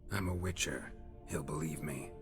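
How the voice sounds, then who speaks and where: An actor playing a stoic, world-weary monster hunter in a video game, terse and dryly wry. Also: male voice, very deep voice, moderate pace, music in the background. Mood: matter-of-fact. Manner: deadpan, terse.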